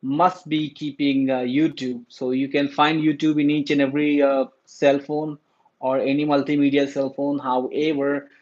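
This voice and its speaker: Hesitant Indian man, flat voice. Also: mid-range voice, normal speed. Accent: Indian English. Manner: hesitant, many "uh" fillers and restarts. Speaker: YouTube commentator. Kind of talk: casual talk to camera on current affairs. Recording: dull lo-fi recording.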